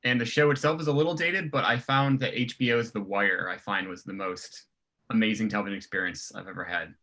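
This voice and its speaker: A man guest answering casually on a panel, relaxed with fillers. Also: lowish voice, brisk. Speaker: guest, creative director. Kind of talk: casual chat.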